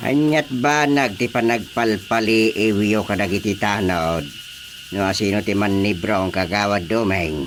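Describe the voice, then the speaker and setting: An actor playing a gruff, questioning village chairman in an Ilocano radio drama comedy, with an Ilocano accent. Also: lowish voice, unhurried, soft background music, natural voice. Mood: questioning, businesslike.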